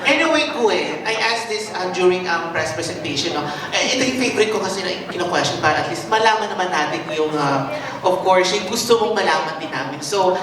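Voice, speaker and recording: Filipino woman reporter, chatty, lowish voice, far from mic in a boomy room with a crowd. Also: lively intonation, fast, hardly any pauses. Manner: chatty, mixes in Tagalog. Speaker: pageant media interviewer. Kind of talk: press Q&A questions. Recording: echoey room, distant mic, crowd behind.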